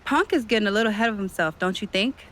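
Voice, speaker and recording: A woman's voice: mid-range voice, quick pace, a bit bright, natural voice, low-quality recording. A woman playing a confident, shrewd woman wrestling star in a video game cutscene, speaking in an assertive, dismissive manner. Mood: cool, assertive.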